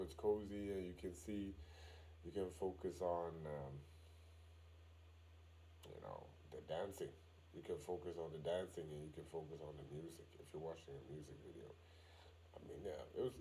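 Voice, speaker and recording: A man from Sweden with a Swedish accent whose first language is Swedish, giving casual reaction commentary as a video host in a halting way, full of fillers and "you know". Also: sits low, dark tone, a little hiss or hum, poor audio.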